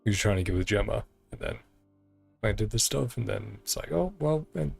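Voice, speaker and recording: A man's voice: lowish voice, animated, moderate pace, creaky, a little mellow, slightly rough. A man doing casual game playthrough commentary, muttering terse fragments and offhand reactions over game music. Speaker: gaming streamer.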